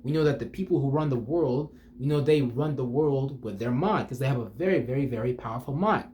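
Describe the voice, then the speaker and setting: A man's voice: mid-range voice, a little fast, mellow. A man giving an off-the-cuff, rambling motivational talk as a spiritual speaker, informal, slangy and profane, repeating himself for emphasis and putting rhetorical questions.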